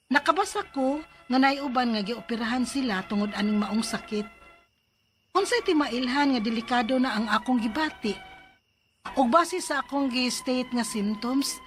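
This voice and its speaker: Actress as anxious higher-voiced young Cebuano woman. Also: close to an everyday voice. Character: anxious young woman letter sender afraid of doctors. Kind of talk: dramatized reading of a listener's letter.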